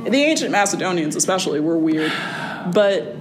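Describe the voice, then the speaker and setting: A young woman, a college student, talking casually as an interview guest in a wry, animated way, with fillers and restarts. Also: moderate pace, crowd noise, low-quality recording. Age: young adult. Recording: audible background noise with a low rumble.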